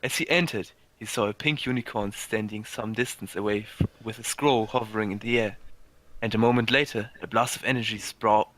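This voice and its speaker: A man narrating amateur fan fiction, halting and stumbling over words as he reads aloud. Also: lowish voice, steady tone, fairly bright tone, poor audio.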